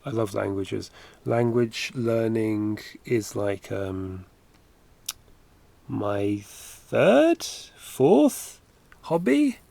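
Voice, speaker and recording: A man's voice: lowish voice, very animated, extremely slow, gravelly, mellow. A man in his thirties chatting in a rambling, self-correcting way while drawing on a livestream, recorded on a headset at home. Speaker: animation streamer. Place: home studio, indoors.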